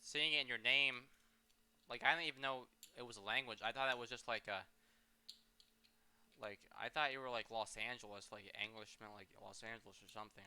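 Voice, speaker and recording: A man's voice: mid-range voice, pushed and bright, clear, resonant tone, no room sound. A young man interviewing casually, loose and joking, often breaking into laughter.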